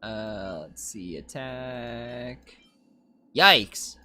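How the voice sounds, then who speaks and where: A game streamer doing casual commentary, thinking out loud and chatting in slang while reading viewer comments. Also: medium pitch, very slow.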